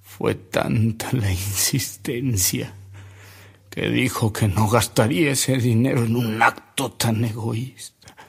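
An actor playing a weary, reflective older man with a Mexican accent, recalling events in a measured radio drama style with dramatic pauses. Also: mid-range voice, gravelly, husky, exaggerated voice.

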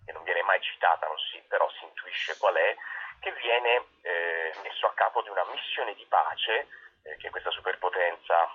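A man, an actor and director being interviewed, explaining a show conversationally and often rephrasing himself mid-sentence, with a husky voice. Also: deep voice, normal speed. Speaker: theatre actor and director, guest. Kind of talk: conversational interview answers, presenting a play. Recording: tinny telephone line on radio.

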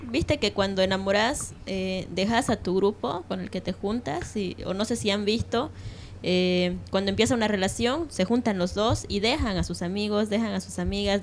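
A woman's voice: mid-range voice, moderate pace, a little hiss or hum. A woman giving her opinion informally in a discussion, emphatic and piling up examples. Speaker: panel participant.

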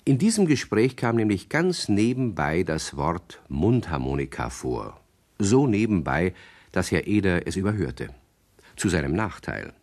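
Children's radio play narrator: low, lively intonation, friendly, chatty, measured storytelling. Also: male voice, full character voice. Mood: wry, knowing.

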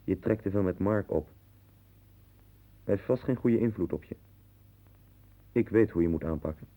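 Husky, deep, domineering radio-play friend. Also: male voice, moderate pace. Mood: lecturing, scornful. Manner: assertive, lecturing, pompous. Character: blunt, domineering friend, lecturing and faintly pompous. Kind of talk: 1960s Dutch radio play acting. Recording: tinny, band-limited 1960s radio recording.

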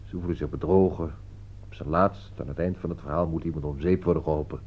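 A man's voice: rumbling low, fairly even intonation, fast talker. An actor playing a shrewd, sardonic male crime writer in a radio play, with a dry, wry way of talking. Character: dryly witty male detective-story writer, shrewd and sardonic. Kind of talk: radio play acting in Dutch. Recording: dull, muffled old radio recording.

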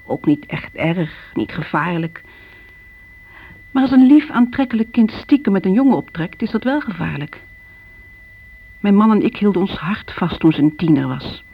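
An actress playing a middle-aged mother in a Dutch radio drama, looking back on her daughters in a measured, worried, exasperated way. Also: lowish voice. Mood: worried, reflective. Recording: muffled, noisy old radio recording.

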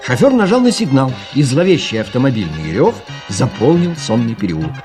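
A man's voice: mid-range voice, big pitch swings, a little fast, darkish tone, faint music behind. A man reading scripted literary narration for an audio play, telling the story expressively.

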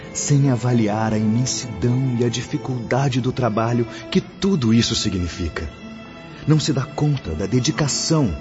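An actor with a Brazilian accent playing a wise, solemn spiritual instructor in a radio drama, speaking in measured, declamatory phrases. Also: male voice, medium pitch, natural voice. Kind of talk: radio drama acting, didactic oration. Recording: dull lo-fi audio under music.

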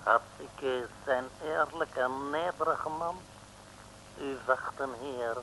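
Slow, sly mock-Chinese crook. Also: male voice, mid-range voice, pushed and bright. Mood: feigned defeat. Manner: self-abasing, flowery, broken grammar. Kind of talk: 1950s-60s Dutch radio comedy acting. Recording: noisy old radio recording.

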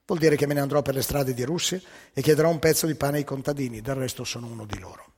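A man playing a deposed older Soviet leader, calm, composed and dignified in defiance, in a stage monologue. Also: mid-range voice, slightly rough, in a large hall, natural voice. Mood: resigned, defiant. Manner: quiet, composed, unhurried.

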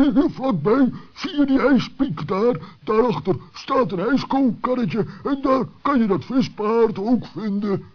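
A man's voice: very high-pitched, lively intonation, a little slow, few pauses, voice pushed up, exaggerated voice. A man voicing a big blustery walrus who stammers and teases, in a children's radio play. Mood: hesitant. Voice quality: blustery, huffing. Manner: stammering repeats, teasing. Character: big grim walrus, scornful joker, huffing through moustache. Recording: muffled old sixties recording.

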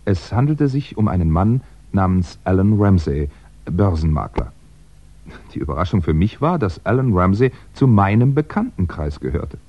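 An actor playing a wry, composed crime writer in a 1960s German radio drama, telling his story in a measured, conversational way with hesitant asides. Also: sits low, big pitch swings, a little mellow, heavily acted. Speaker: actor playing narrator and lead. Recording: dull, band-limited 1960s radio recording.

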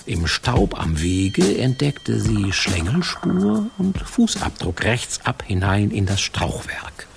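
Low, creaky male fairy-tale narrator. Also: big pitch swings, slow pace, hardly any pauses, over music. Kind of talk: fairy-tale storytelling in a radio play.

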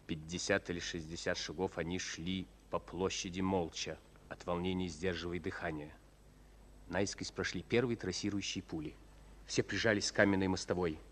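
An actor narrating a war tale in a solemn, scripted radio drama style. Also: male voice, low voice, a bit bright, slight background noise.

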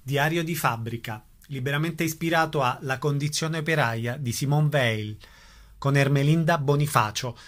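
A man's voice: medium pitch, normal speed, fairly bright tone. A man announcing an event in a scripted radio promo.

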